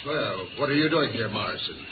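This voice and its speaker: A man's voice: mid-range voice, expressive, fairly quick, lightly acted. An actor playing a blunt, unsuspecting middle-aged man with a questioning manner in 1950s radio drama. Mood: weary, surprised. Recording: echoing, noisy, narrow old radio recording.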